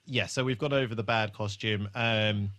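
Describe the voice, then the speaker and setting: A man's voice: fairly low pitch, fairly even intonation, normal speed, pushed and bright. A man hosting a casual rewatch podcast, chatting in a sweary, jokey way. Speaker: podcast host. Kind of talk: casual, banter-filled TV rewatch chat.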